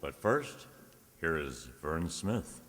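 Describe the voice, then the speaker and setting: A man's voice: very deep voice, big pitch swings, slow pace, a bit bright, heavily acted. A man playing a formal announcer in a 1940s-style radio show. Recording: hall-like reverb, music behind.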